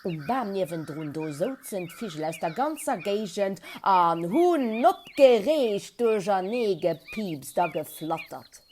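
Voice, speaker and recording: A woman's voice: medium pitch, lively intonation, slow, few pauses, a little mellow, a little hiss or hum. A woman with a Luxembourgish accent telling a children's story expressively.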